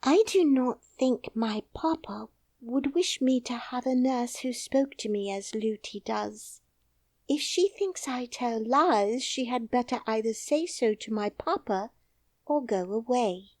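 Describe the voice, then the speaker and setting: A woman voicing a calm, dignified little princess who speaks quietly and firmly in an audiobook. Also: a little high, unhurried, no room sound, voice pushed up. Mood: pleading. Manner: quiet, composed, firm. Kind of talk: audiobook character voice.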